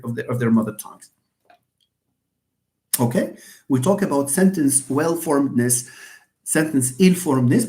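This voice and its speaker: A man's voice: mid-range voice, a little mellow. A man giving a linguistics lecture in a classroom. He asks questions and then answers them himself.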